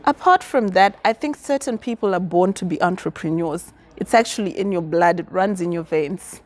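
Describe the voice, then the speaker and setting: A woman's voice: fairly low pitch, normal speed. A confident, articulate woman from Zimbabwe, answering interview questions about business and activism. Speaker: guest.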